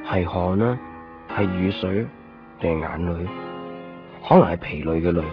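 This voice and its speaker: A man with a Hong Kong Cantonese accent playing a weary, short-tempered photocopy shop keeper in a radio drama. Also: sits low. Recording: muffled 1990s radio drama, music behind. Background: music.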